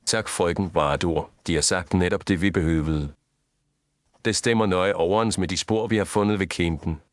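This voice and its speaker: Male, low and dry-recorded actor as exacting detective for an audio mystery, formal, grave, measured. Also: dry recording, close to an everyday voice. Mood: stern, reasoning. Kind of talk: scripted audio story dialogue.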